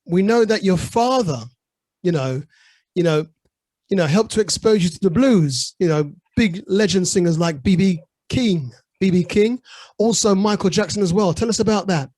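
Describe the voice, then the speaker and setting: A man's voice: sits high, normal speed, a little mellow, dry recording. A man hosting a music interview show, enthusiastic, saying "you know" over and over. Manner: enthusiastic, repeats "you know", says "brilliant". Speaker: radio show host.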